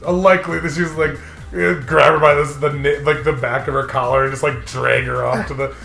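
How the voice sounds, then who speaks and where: A man's voice: mid-range voice, little pitch movement, a little fast, vocal fry, pushed and bright. A man co-hosting a gaming show with casual, crude, mock-exasperated comedic commentary. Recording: roomy echo, faint music behind.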